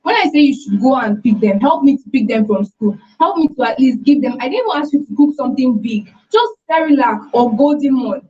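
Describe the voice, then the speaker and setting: A woman's voice: high voice, lively intonation, fairly quick, hardly any pauses, warm tone, distant mic, muffled recording. A Nigerian woman acting an overworked, fed-up breadwinner wife and mother in a radio drama, emphatic and reproachful. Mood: fed up, indignant.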